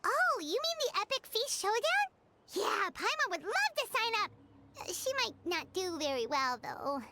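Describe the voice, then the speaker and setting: Super squeaky little game sidekick girl, eager, talks about herself in third person, bouncy tone. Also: very animated, normal speed, noisy background. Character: tiny floating female companion, bubbly, food-loving. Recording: game audio with loud background music.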